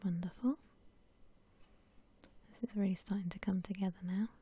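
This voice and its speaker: A woman whispering softly in a breathy, gentle, unhurried way, musing aloud as she gives ASMR commentary on a game. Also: medium pitch, fairly even intonation. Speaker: host of a gaming ASMR channel.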